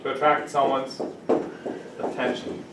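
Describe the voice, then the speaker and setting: A man teaching an English vocabulary lesson to a class, playful and quick to laugh, giving example sentences. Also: medium pitch, little pitch movement, very noisy. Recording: echoey classroom, camera from a distance.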